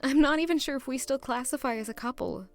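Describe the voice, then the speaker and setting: A woman plays a young commoner heroine in an audio drama, shy and introspective. Her voice sits a little high, nervous yet hopeful, with light music underneath. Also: fast. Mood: anxious, resolved. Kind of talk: audio drama narration and dialogue.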